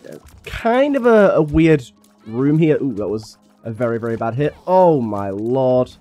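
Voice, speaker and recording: A young man with a slightly raspy voice from being a little ill, chatting casually and thinking aloud as he plays a game. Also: medium pitch, very animated, unhurried, warm tone, soft background music. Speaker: gaming YouTuber. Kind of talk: casual gameplay commentary.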